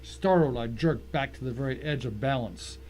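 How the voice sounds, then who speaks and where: A man's voice: medium pitch, normal speed, a little mellow, poor audio. A man giving a dramatic first-person reading of a short story as its narrator. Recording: faint noise and ambience behind.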